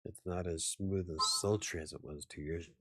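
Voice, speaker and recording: A man with a low, slightly raspy voice talks casually as a show host. He rambles, laughs easily and swears now and then. The sound is dry and close, with little room echo. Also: steady tone, normal speed. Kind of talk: casual chat.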